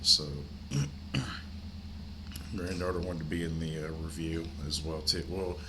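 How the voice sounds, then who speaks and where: A man's voice: rumbling low, little pitch movement, measured pace, noisy background. An older man with a stuffy, congested nose, hosting his movie channel from home in a rambling, chatty way. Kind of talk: casual vlog chat.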